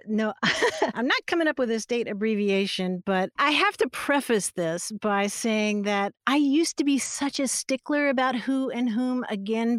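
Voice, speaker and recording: A middle-aged woman explaining grammar rules in a relaxed, friendly way, laughing easily as she talks. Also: mid-range voice, dry recording, studio quality. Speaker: grammar expert guest. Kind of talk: conversational explanation on a podcast.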